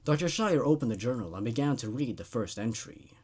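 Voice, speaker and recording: A man's voice: medium pitch, expressive, fairly quick, a little mellow, breathy edge. A man narrating a horror story in a dramatic, scripted style. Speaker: narrator, show host.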